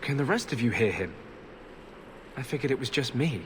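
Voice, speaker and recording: An actor playing an earnest, tormented former warden mage in a fantasy video game. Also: male voice, mid-range voice, animated, moderate pace, background noise, close to an everyday voice. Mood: thoughtful. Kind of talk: video game cutscene acting.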